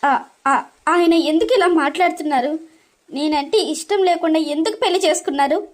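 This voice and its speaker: Innocent young wife, woman voice actor, very high pitch, gentle, hurt, pleading, story dialogue. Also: normal speed. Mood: wounded, tearful. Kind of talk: dramatized story narration.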